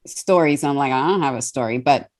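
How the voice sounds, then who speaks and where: A woman's voice: extremely low pitch, a little fast. A middle-aged woman from Trinidad and Australia, a confidence coach answering interview questions thoughtfully. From born in Trinidad, raised in Australia. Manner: reflective, thoughtful with many fillers.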